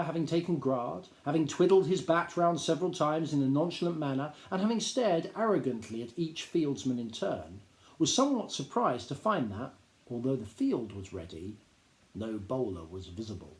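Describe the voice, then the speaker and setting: A man reading literary prose aloud in a measured, drily humorous storytelling manner. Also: mid-range voice, darkish tone. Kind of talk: expressive literary narration. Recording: roomy, echoing indoor sound.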